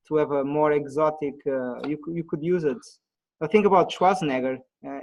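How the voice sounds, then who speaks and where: A man presenting an online webinar on pitching, pausing often with fillers and restarts, heard over a video call. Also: darkish tone, highs cut off. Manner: halting, many fillers and restarts.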